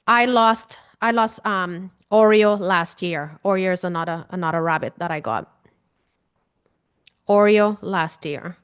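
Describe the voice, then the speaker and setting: A woman's voice: medium pitch, a bit bright, clean tone. A woman with a Guyanese accent, an emotional healing coach, speaking earnestly and casually straight to her viewers, repeating points and asking them questions. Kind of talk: casual direct-to-camera talk.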